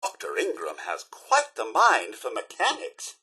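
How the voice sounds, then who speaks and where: A man playing a polite, fussy robot butler in a video game, with a British accent, a raspy edge and a formal, theatrical, deferential delivery. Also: medium pitch, huge pitch swings, a little slow, pushed and bright, heavily acted. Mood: droll, courteous. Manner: formal, deferential, crisply pompous.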